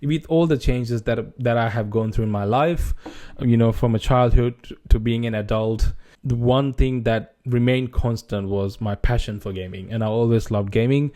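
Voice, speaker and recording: A young man from Sri Lanka speaks to camera in an easygoing vlog, his Sri Lankan accent plain and his voice mellow and dark. His pitch sits in the middle, and he often stops, restarts and adds fillers as he goes. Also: fairly even intonation, normal speed. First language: Sinhala. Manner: hesitant, many restarts and fillers. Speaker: gaming channel creator. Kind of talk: casual vlog talk to camera.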